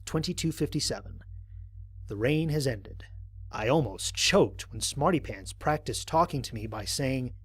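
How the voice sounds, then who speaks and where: A man playing an earnest human space explorer who records mission logs in a measured, reflective way, with a slightly husky voice, in an audio drama. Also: fairly high pitch, no echo. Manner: measured, reflective log-keeping delivery. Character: male human space explorer, earnest, observant, wryly fond. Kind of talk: scripted audio drama mission log narration.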